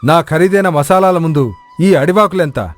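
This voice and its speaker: A man's voice: on the high side, normal speed, soft background music, close to an everyday voice. A man playing a jealous, boastful village cook with a scornful, grudging manner in a moral story. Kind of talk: acted moral story dialogue.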